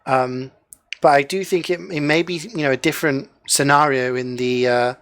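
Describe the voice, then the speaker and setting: A man's voice: medium pitch, fairly even intonation, moderate pace, fairly bright tone, smooth, dry recording. Chatty male esports caster. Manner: chatty, heavy use of "you know" fillers, joking. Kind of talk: casual live game commentary.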